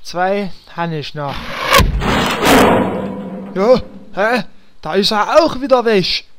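An actor playing a hapless, stubborn paladin with a Berlin accent in a comedy fantasy audio drama. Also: male voice, sits high, expressive, slow pace, very noisy. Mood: stubborn, flustered. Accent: Berlin German. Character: hapless whiny male paladin, stubborn, self-pitying.

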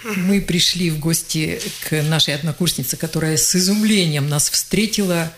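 An older woman, a journalist and geologist, telling lively anecdotes as a guest on a radio interview and acting out the voices she quotes. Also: low voice, normal speed, slight background noise.

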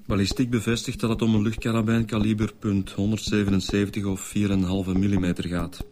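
An actor playing a polite, methodical police detective with a Flemish accent in a radio drama, matter-of-fact in manner. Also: male voice, fairly low pitch, flat delivery, quick pace, a crowd in the background, natural voice. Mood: reporting.

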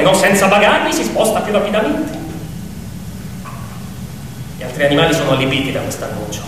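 A man's voice: a little high, background noise. A man delivering theatrical scripted narration in an ironic, insinuating manner, as an actor telling a story. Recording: echoey reverberant hall, distant, music behind.

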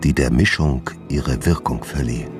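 A man acting in a radio drama as a doomed, melancholy gentleman doctor, solemn and weary in a deliberate confession. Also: extremely low pitch, over music. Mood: resigned.

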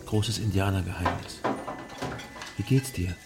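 A man's voice: low-pitched, measured pace, gravelly. A man playing a dry, persistent private detective in a radio drama, speaking in a restrained, matter-of-fact way. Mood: gentle, curious. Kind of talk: radio drama first-person narration and dialogue.

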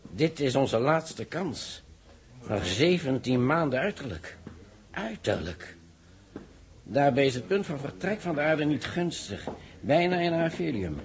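An actor playing a grave astronomer in a 1960s Dutch radio drama, speaking in a measured, solemn way with dramatic pauses. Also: male voice, medium pitch, sing-song. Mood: grave, urgent. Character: grave male astronomer warning of solar catastrophe. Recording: old 1960s radio recording.

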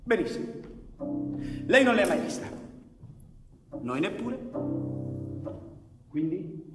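A man's voice: high voice, very animated, takes it slowly, heavily acted. A male actor playing a proud, scornful outlaw and storyteller in a dramatic stage style. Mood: challenging, controlled. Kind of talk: theatrical dramatic narration with live music. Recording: reverberant hall, loud music over voice.

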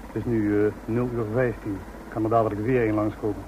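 A man's voice: fairly low pitch, level tone, brisk, close to an everyday voice. A man playing a precise, businesslike lookout in a radio drama, reporting in a matter-of-fact way. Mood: watchful, businesslike. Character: precise, businesslike male lookout of covert team. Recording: noisy radio drama, somewhat distant.

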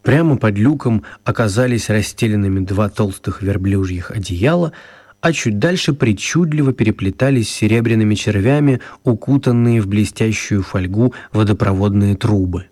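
A man with a husky, mellow voice narrating a radio play in an expressive storytelling style. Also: on the low side, moderate pace.

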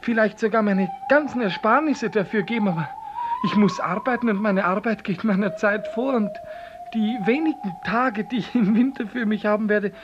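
An actor playing a timid, apologetic, dutiful office clerk with a hesitant, deferential manner in a 1950s German radio drama. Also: male voice, high voice, moderate pace, lightly acted. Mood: hesitant, regretful. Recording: muffled, noisy 1950s radio recording.

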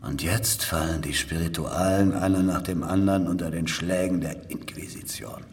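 An actor playing a weary, cynical old spy in a German radio drama, sighing and speaking in a deliberate, knowing way. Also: male voice, low voice, steady tone. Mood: weary, explanatory.

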